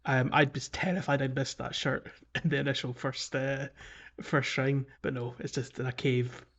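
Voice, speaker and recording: A man's voice: mid-range voice, little pitch movement, normal speed, fairly bright tone, highs cut off. A man co-hosting a casual video game podcast, talking in a chatty, rambling way and often correcting himself. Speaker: podcast co-host.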